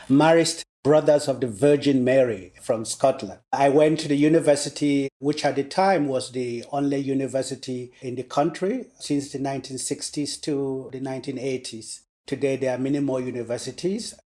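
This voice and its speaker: An older man from Cameroon, a guest scholar answering interview questions at an easy pace, with many pauses and fillers, over a remote call. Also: medium pitch, darkish tone. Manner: hesitant, many fillers and restarts.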